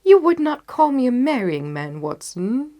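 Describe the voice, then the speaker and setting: A woman reading the part of a confident, determined gentleman detective in a storybook, with emphatic, articulate delivery. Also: sits high, sing-song, measured pace, voice pushed up. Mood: amused, confident. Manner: emphatic, articulate, self-assured.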